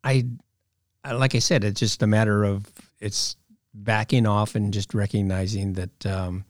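Man on a worship podcast, kinda low voice, plainspoken, dry close sound. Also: flat delivery, no echo. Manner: plainspoken, short halting phrases. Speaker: worship team member. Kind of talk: casual podcast chat.

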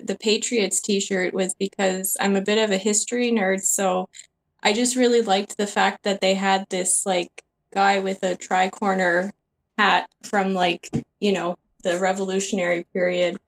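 A woman from Quebec with a Quebec accent, a guest on a podcast, chatting casually in a friendly, soft-spoken and slightly hesitant way. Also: mid-range voice, little pitch movement, measured pace, rough recording. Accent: Quebec English. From Quebec, Canada. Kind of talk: casual chat over a video call.

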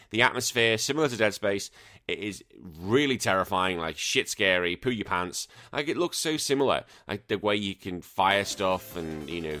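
A man hosting a retro gaming podcast in casual chat, a bit croaky, blunt and joking, swearing freely. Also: lowish voice, pushed and bright. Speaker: podcast host.

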